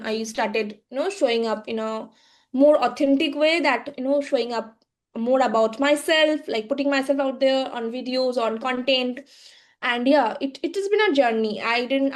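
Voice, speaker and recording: A woman, a guest on a podcast interview, telling her story reflectively with frequent fillers and halting restarts. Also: high voice. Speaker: guest, social media agency founder.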